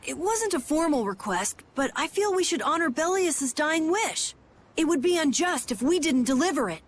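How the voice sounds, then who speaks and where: A woman voicing an earnest, excitable young boy adventurer in a video game. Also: high voice, normal speed, slight background noise. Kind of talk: animated video game dubbing.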